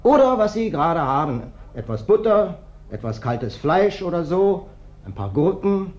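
An actor in a German radio play playing a big ex-wrestler drifter, wheedling and falsely humble, with slyly menacing folksiness. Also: male voice, sits high, very animated, unhurried, darkish tone. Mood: falsely modest. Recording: dull, band-limited radio play recording.

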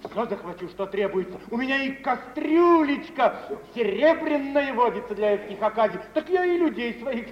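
High-voiced obliging tavern keeper. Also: male voice, lively intonation, moderate pace, few pauses. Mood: obsequious. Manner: servile, coaxing. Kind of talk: mid-century theatrical radio drama acting. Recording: muffled, noisy, reverberant old radio recording.

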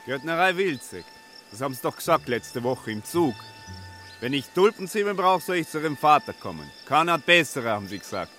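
A man's voice: fairly high pitch, very animated, brisk, sharp tone, faint music behind. An actor playing a grumpy, indignant man with an Austrian accent, blunt and exasperated, in a radio drama. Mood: pointed. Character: grumpy, indignant man demanding his property back.

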